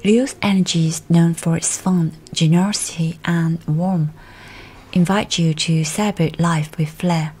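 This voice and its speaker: A woman reading a scripted guided meditation in a soft, soothing, breathy delivery. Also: fairly low pitch, steady tone, measured pace. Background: music.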